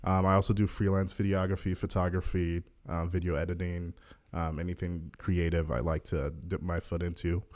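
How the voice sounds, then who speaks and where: A man's voice: low-pitched, little pitch movement, moderate pace, a little mellow. A man in his twenties hosting a casual family podcast, upbeat and teasing, with many "um" fillers. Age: 20s. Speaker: podcast host.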